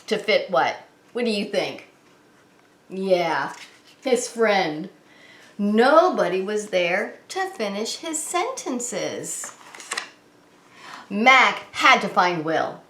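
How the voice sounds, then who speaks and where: Female preschool story-time host, expressive and sing-song, addressing little kids directly, echoing room sound. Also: medium pitch. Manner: animated, sing-song, asks and answers questions to young children. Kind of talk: children's read-aloud story time. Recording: roomy, echoing indoor sound.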